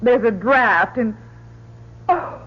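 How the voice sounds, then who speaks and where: A woman's voice: on the high side, takes it slowly, husky. A woman playing a brave, devoted doctor and astronaut with earnest, dramatic delivery in an old radio drama. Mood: tense. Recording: muffled, noisy old radio recording.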